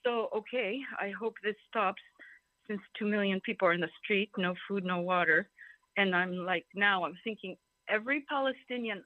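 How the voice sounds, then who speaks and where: A woman's voice: medium pitch, moderate pace, dry recording. Woman from Greece, talkative and emphatic event speaker, digressive personal testimony, narrow telephone-like audio. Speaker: symposium speaker. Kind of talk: informal personal testimony at a symposium. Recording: thin phone-like call audio.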